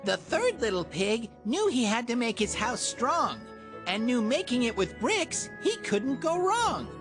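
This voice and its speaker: A woman's voice: on the high side, big pitch swings, unhurried. Lilting woman reading rhymes over music. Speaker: narrator. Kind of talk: scripted rhyming storybook narration.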